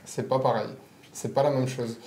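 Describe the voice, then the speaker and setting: A man's voice: medium pitch, fairly even intonation, moderate pace, warm tone, roomy sound, slight background noise, low-quality recording. A man in his mid twenties speaking assertively in a casual group discussion, repeating points for emphasis. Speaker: panelist, works as a manager.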